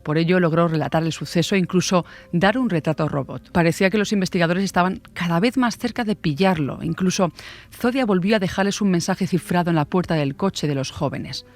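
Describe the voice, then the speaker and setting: A man's voice: high-pitched, brisk. A man from narrating scripted true crime as a dramatic storyteller with rhetorical asides.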